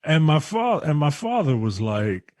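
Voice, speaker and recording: A man with a Baltimore accent, co-hosting a casual podcast chat, halting and repeating words as he tells a wry story. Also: a little high, big pitch swings, a little mellow, no echo, clean studio sound. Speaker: podcast co-host.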